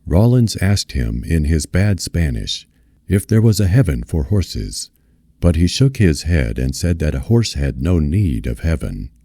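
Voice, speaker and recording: A man reading literary fiction aloud as a narrator, in a measured, solemn manner. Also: a bass voice, very animated, warm tone, no echo, clean studio sound. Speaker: reader of a novel.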